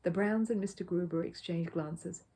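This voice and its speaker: A woman's voice: on the low side, fairly even intonation, moderate pace, dark tone, husky. A woman reading a children's story aloud as scripted narration. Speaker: storybook reader.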